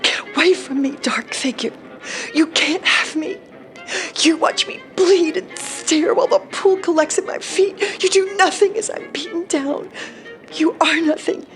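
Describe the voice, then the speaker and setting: A woman playing a battered wife defiantly and forcefully confronting a dark figure in a horror audio drama. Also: extremely high pitch, a little slow, soft background music. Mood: defiant, desperate.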